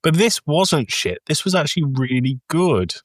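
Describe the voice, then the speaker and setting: A man's voice: on the high side, sing-song, normal speed, dry recording, clean studio sound. A man co-hosting a podcast, giving blunt, informal film opinions in casual chat. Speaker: podcast co-host.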